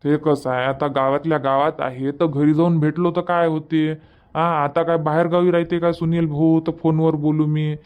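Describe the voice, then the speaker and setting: A man's voice: fairly high pitch, little pitch movement, normal speed, smooth, highs cut off, close to an everyday voice. A man voicing an easygoing, stubborn village husband in a cartoon comedy, chatty and colloquial, with a rural Varhadi Marathi accent. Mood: explaining, mildly insistent. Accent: rural Varhadi Marathi from Vidarbha. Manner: casual, colloquial, explaining at length.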